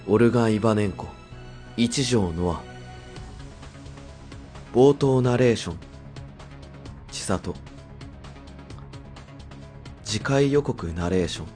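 A man reads the end credits slowly and steadily, in a low voice. His delivery is measured and announcing, with faint music under it. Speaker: credits narrator.

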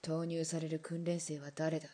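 A woman's voice: monotone, moderate pace, warm tone. A woman playing a defiant Russian ex-officer in a radio drama, blunt and challenging. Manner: blunt, challenging, low and clipped. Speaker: former Russian imperial soldier. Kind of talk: hobby radio drama acting.

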